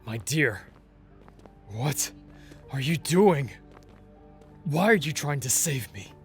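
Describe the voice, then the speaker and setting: A man playing a weakened, proud vampire with formal, solemn phrasing and a breathy voice that dips into whispers, in a dramatic ASMR audio roleplay. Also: medium pitch, big pitch swings, slow pace, faint music behind. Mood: pleading.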